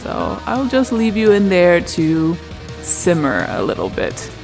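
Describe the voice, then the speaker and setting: A man's voice: sits high, wildly swooping pitch, slow pace, vocal fry, music in the background, full character voice. A man playing a smug, mock-friendly phantom villain in a tabletop roleplay podcast, taunting with cool menace.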